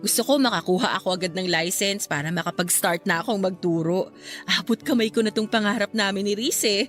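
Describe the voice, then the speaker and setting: A woman's voice: medium pitch, fairly quick, faint music behind, lightly acted. A woman playing a grateful, wistful young woman in a Filipino radio drama, speaking with a Filipino accent. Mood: earnest, wistful. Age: young adult. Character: grateful, wistful young woman, newly graduated, missing her late love.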